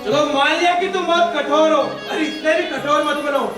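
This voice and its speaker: A man's voice: extremely high pitch, steady tone, normal speed. An actor playing a menacing, power-hungry village strongman politician on stage, with a domineering, rhetorical way of talking. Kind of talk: projected stage acting. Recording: distant echoey theatre hall with music.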